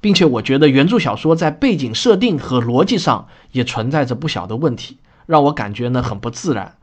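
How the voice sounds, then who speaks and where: A man speaking as a podcast host, explaining in an earnest, deliberate monologue. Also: medium pitch, expressive. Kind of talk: spoken introduction, explanatory monologue.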